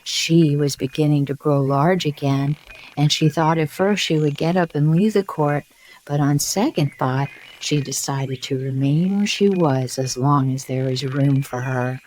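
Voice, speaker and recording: A woman narrating a bedtime story in a soothing, measured storyteller's manner. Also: low voice, darkish tone, a little hiss or hum, rough recording.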